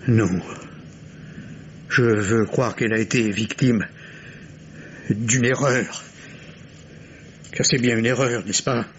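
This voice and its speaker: A man's voice: medium pitch, fairly even intonation, takes it slowly, long pauses, fairly bright tone. An actor playing an anguished, grave man torn between love and suspicion, in the theatrical style of a 1950s radio mystery. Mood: troubled. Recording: dull, noisy old radio recording.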